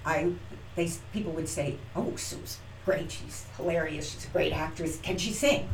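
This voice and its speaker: A middle-aged actress chats as an interview guest, animated and acting out the lines she quotes. Her voice sits a little low and she sounds far from the mic. The room is echoey, with faint noise behind her. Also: normal speed. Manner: animated, acts out quoted lines, frequent restarts. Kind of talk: casual interview storytelling.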